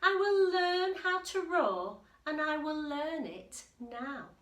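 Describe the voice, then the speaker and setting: A tiny, earnest storybook mouse, acted out by a woman, speaks in a high, emphatic voice. He is meek but eager and full of wishing. The sound is roomy and echoey. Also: expressive, slow pace, fairly bright tone, full character voice. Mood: determined.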